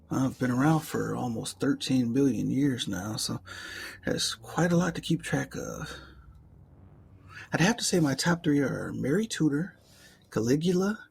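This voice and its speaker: Casting: male actor as sly, condescending age-old demon for ASMR roleplay; very creaky, breathy, expressive delivery. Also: mid-range voice, slight background noise. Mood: nostalgic, gloating. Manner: drawn-out, theatrical, formal wording, half-whispered phrases.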